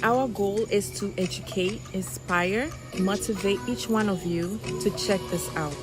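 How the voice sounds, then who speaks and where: A woman's voice: mid-range voice, unhurried. A woman delivering an upbeat promotional call to action. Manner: upbeat, urging. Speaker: promo presenter. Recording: loud music behind.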